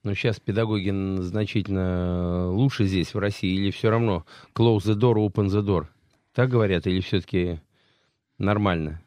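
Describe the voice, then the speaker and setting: A middle-aged man hosting a studio interview, relaxed and chatty, telling stories from his band days. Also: sits low, moderate pace, dry recording. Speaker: radio show host. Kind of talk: casual interview chat.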